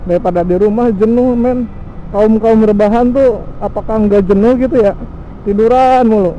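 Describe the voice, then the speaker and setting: A man's voice: very high voice, muffled recording. A young man from Indonesia chatting casually and jokingly while riding a motorcycle, speaking through a helmet-mounted camera mic with wind and engine noise. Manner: slangy, joking, laughs often. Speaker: motovlogger. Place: outdoors on a motorcycle on a tree-lined road. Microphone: helmet-mounted action camera mic.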